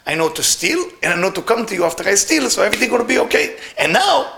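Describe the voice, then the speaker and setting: A man's voice: a little high, very animated, fairly quick, creaky, pushed and bright, exaggerated voice. A man with non-native English voicing a brash male professional thief in a folk tale, emphatic, pleading and repetitive. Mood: tearful pleading. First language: not English.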